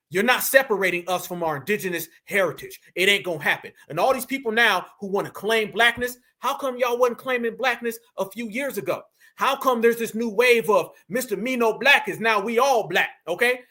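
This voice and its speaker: High, bright-voiced man preaching commentary. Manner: preachy, emphatic, addresses viewers directly. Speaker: commentary show host. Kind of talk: opinion commentary.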